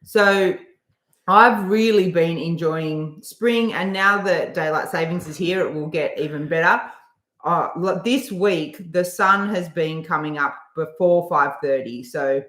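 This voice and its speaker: A woman co-hosting a casual live stream, relaxed, with lots of "um" pauses as she reads out comments. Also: lowish voice, reverberant.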